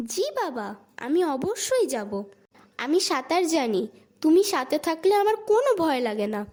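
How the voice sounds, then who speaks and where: Very high-voiced little boy. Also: sing-song, a little slow, slight background noise. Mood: eager, brave. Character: young boy, curious, brave, devoted to his father. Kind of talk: children's cartoon dialogue. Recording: loud music behind the voice. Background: loud music.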